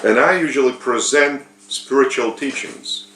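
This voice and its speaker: Lithuanian guy preaching real slow, lots of ums, roomy echo. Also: medium pitch, fairly bright tone, a little hiss or hum. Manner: slow, halting, with frequent pauses and fillers. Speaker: spiritual teacher. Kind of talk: unscripted sermon.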